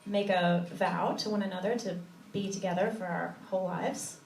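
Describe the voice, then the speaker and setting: A woman's voice: mid-range voice, fairly even intonation, gravelly. Woman chatting from stage, echoey and distant. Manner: informal and halting, thinking aloud. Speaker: cabaret singer introducing a song to the audience. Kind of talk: casual live stage banter. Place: live cabaret stage in a theatre. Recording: echoey room, distant audience recording, faint noise.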